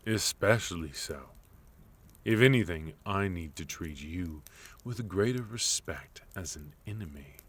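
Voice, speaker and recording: Male actor as sophisticated villain leader for ASMR roleplay: extremely low, unhurried, formal, quietly threatening, faint noise behind. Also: exaggerated voice. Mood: courteous, composed. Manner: formal, polite, measured, with deliberate pauses. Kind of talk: ASMR roleplay, intimate second-person acting.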